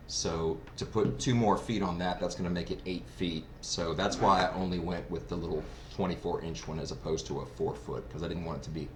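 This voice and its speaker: A man giving a casual, unscripted gear review in a rambling, explanatory way, like a hobbyist who is new to it. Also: sits low. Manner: rambling, explanatory, self-described novice. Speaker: product reviewer. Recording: roomy echo, clear background noise.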